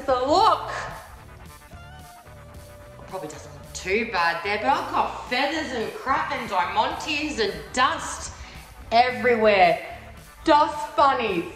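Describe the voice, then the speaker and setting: A woman's voice: medium pitch, a bit bright. A young Australian woman chatting through a product review vlog at home, bubbly and cheeky, sometimes breaking into little sung bits as she walks around her kitchen. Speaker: vlogger. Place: large modern kitchen and living room indoors. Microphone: phone camera on the bench, moving around the room. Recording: roomy echo with faint music.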